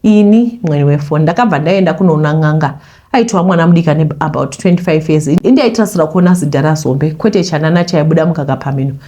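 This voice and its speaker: A Zimbabwean woman reading a listener's confession aloud and commenting on it, mixing Shona and English in an animated way. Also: deep voice, fast.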